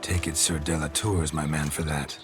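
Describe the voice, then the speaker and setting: A deep, gravelly male voice plays a world-weary game monster hunter. He is stoic and deadpan, speaking in clipped phrases, with music clearly behind him. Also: fairly quick. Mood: businesslike. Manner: laconic, deadpan, clipped sentences. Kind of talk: video game character acting.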